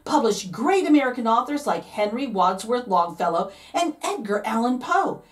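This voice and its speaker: A woman's voice: a little high, very animated, moderate pace, recorded from a distance. A woman reading a picture book aloud to children, dramatic and playful, drawing out key words for emphasis. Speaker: read-aloud host, storyteller.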